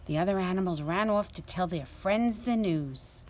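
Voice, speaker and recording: A woman reading a children's story aloud as a storyteller, in an expressive, deliberate way. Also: lowish voice, slight background noise.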